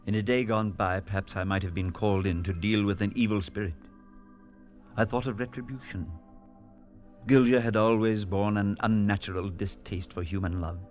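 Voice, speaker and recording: An actor playing a priest who narrates the story in a measured way in a 1940s radio drama. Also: male voice, fairly low pitch, steady tone, lightly acted. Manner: measured narration with rising alarm in dialogue. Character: male priest narrator, earnest, steady, unsettled. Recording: dull lo-fi vintage radio with music.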